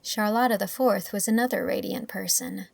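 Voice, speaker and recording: A woman's voice: medium pitch, normal speed, vocal fry, no room sound. A woman narrating a novel as a scripted audiobook.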